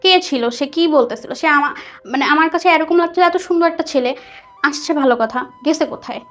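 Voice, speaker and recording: A woman with a Bangladeshi accent telling a personal story conversationally, animated and dropping in English words. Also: high voice, fairly quick. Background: soft background music.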